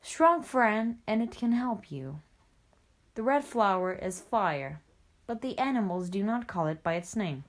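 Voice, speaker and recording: A calm, wise male panther from a storybook, voiced by a woman reading aloud. He talks low and steady, handing out patient advice in an unhurried way. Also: natural voice. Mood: advising.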